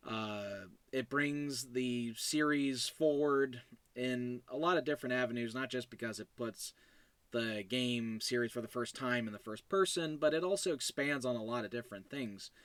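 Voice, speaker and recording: A man's voice: mid-range voice, a bit bright, no room sound. A young man talking casually as a solo podcast host, in an informal, rambling way and often correcting himself. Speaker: podcast host and show creator.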